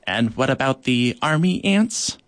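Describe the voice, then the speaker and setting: A man's voice: medium pitch, expressive, a little slow, fairly bright tone, no room sound. A man voicing a worried female worker ant who quivers as she talks.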